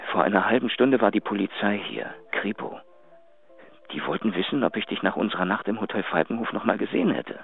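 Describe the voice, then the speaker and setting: An actor in a radio drama playing a remorseful, anxious lover who stammers and pleads into an answering machine over a phone line. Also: male voice, fairly low pitch, lively intonation, fairly quick, gravelly. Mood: worried, pleading. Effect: telephone answering-machine filter. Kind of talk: German radio drama acting. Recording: tinny phone line, faint music.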